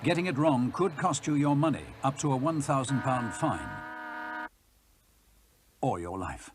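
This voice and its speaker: A man reading scripted safety warnings as a public information film narrator, stern and deliberate. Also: mid-range voice, expressive, music in the background. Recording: old film soundtrack, faint noise.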